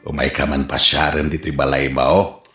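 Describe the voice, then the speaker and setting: A man playing an excitable village uncle in an Ilocano radio comedy drama, with an Ilocano accent and theatrical delivery. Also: extremely low pitch, soft background music. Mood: smitten, giddy. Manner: theatrical, drawn-out, swooping. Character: lovesick, excitable middle-aged village uncle. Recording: roomy, reflective sound.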